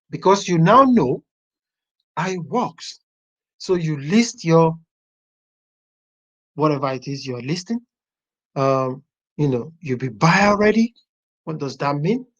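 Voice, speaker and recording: A software testing teacher walking a class through a website step by step, thinking aloud as they browse. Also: mid-range voice. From Nigeria. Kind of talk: live class walkthrough. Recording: dull lo-fi online class audio.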